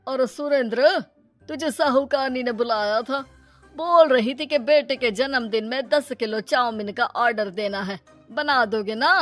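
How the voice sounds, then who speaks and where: A woman voicing a greedy, scheming middle-aged moneylender in an animated moral story, sly and brusque. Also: fairly high pitch, unhurried, soft background music, close to an everyday voice.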